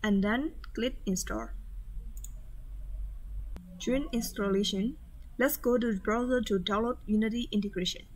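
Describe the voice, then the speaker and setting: A woman talking through a step-by-step software tutorial over a screen recording, in a plain instructional manner. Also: a little high, normal speed, pauses often, poor audio.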